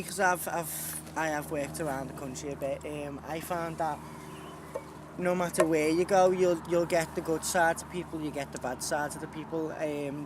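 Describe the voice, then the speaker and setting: Man outdoors amid traffic. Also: a little high. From Liverpool, England. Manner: plain-spoken, sincere, some hesitations. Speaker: community volunteer gardener. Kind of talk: interview answers outdoors.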